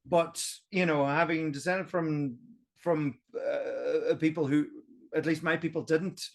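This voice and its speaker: A young Irish man chatting on a history podcast, animated and emphatic, thinking aloud as he goes. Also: a little high, slow, creaky. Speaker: guest history video creator. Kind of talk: casual roundtable podcast chat.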